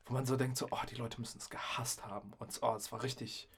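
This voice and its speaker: A young man in a casual podcast conversation, with a medium-pitched voice. He talks in loose slang like a DJ swapping stories, and he likes to act out the remarks he quotes. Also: level tone, fast talker, creaky, husky. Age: young adult. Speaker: podcast host and DJ. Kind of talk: casual chat, animated storytelling.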